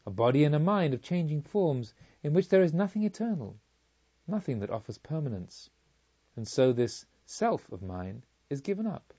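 A man voicing a serene, self-possessed enlightened woman in a storybook reading, with measured, solemn delivery. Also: on the high side, very animated, warm tone, husky, lo-fi sound. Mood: serene detachment.

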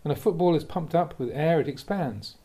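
A man reading a Buddhist teaching aloud like a monk giving a talk, in a measured, conversational and expressive way. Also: a little high, breathy edge. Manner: measured, expressive, with rhetorical questions. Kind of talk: read-aloud Dhamma talk, conversational delivery.

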